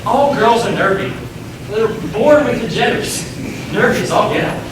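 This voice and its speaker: A man's voice: extremely high pitch, expressive. Young man acting awkward teen boy, very echoey. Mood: nervy banter. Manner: awkward, halting. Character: jittery, self-mocking teenage small-town boy. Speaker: amateur stage actor. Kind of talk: one-act stage play acting. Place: indoor stage, recorded from far off. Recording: distant, echoey, noisy stage recording.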